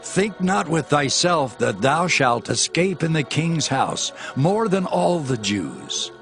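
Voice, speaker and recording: Casting: a solemn, warning elder kinsman; creaky, fairly high voice, biblical audio drama. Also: a bit bright, heavily acted. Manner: grave, exhorting. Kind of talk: dramatized Bible acting.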